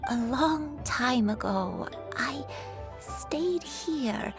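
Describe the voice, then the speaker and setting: A woman voicing a frail, gentle old grandmother who talks slowly and hesitantly, apologetic and sorrowful, in a game cutscene. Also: high-pitched, lively intonation, over music, heavily acted. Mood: wistful. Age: older.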